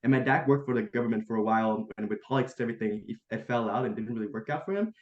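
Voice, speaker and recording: A man's voice: fairly low pitch, rapid-fire. A young man from Vietnam, a college student guest, chatting in a friendly, upbeat way and saying "man" and "like" a lot, over a video call. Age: young adult. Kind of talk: casual interview chat. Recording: dull, roomy video call audio.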